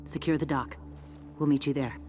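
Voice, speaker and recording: A woman's voice: sits low, steady tone, normal speed, a little mellow, natural voice. A woman playing a resolute samurai warrior leader in a video game, with terse, declamatory delivery over music. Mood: stern, commanding. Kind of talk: dramatic video game acting.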